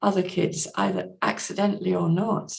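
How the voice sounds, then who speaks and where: A woman guest on a podcast, discussing books casually in a measured, understated way, pausing to think. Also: lowish voice, big pitch swings, gravelly, a little mellow.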